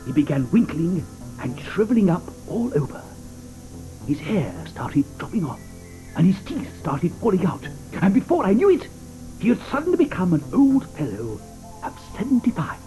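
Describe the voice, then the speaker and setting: An actor playing an eccentric, fussy male chocolate maker in an audio drama, talking theatrically with many hesitant pauses. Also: high-pitched, mellow, poor audio. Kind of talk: 1980s audio drama acting. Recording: studio audio drama with music behind.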